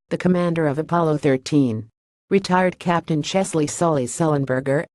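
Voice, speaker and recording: Synthetic news narrator: mid pitch, dry close sound, steady uninflected read. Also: no room sound. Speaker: news reader. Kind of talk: scripted news reading.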